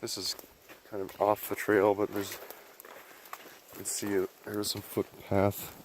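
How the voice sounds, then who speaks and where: A man with a cold and a hoarse voice, narrating casually as he hikes through a remote mountain forest. He rambles, thinks aloud and clears his throat often. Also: lowish voice, monotone, slow pace, background noise. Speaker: outdoor explorer filming a vlog. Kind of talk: casual narration while hiking.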